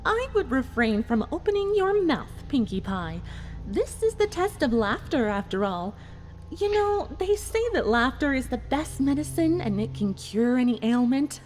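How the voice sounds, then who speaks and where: A woman's voice: high voice, sing-song, moderate pace, darkish tone, slight background noise, rough recording. A woman voicing a coolly sadistic villain pony, mock-polite and taunting in a theatrical fan fiction drama. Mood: smugly sadistic. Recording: music behind the voice.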